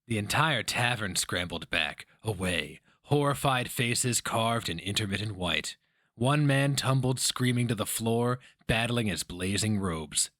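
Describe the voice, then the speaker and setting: Intense, animated man narrating, low voice. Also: unhurried, dry recording, studio quality. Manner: intense, theatrical. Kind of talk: dramatic scripted fiction narration.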